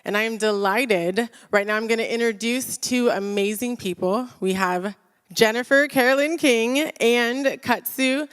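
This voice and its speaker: A woman opening an event with formal, measured delivery, as if from a prepared script. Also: on the high side, steady tone, smooth. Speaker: event introducer. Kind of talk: formal read land acknowledgment and introduction. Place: large reverberant venue. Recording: long hall reverb.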